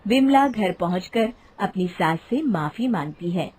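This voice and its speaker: A woman narrating an animated moral story as a scripted storyteller. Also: mid-range voice, measured pace, breathy edge.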